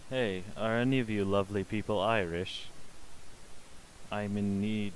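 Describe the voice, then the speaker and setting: A man's voice: fairly low pitch, steady tone, a little slow, slight background noise, low-quality recording. A young man streaming a social VR game, chatting casually and politely pestering the strangers he meets. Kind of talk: casual gaming commentary.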